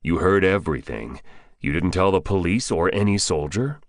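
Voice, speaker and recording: A man's voice: low-pitched, lively intonation, normal speed, dry recording, studio quality. A man voicing a terse, commanding male soldier in an audiobook, with clipped, curt delivery. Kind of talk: audiobook character voice.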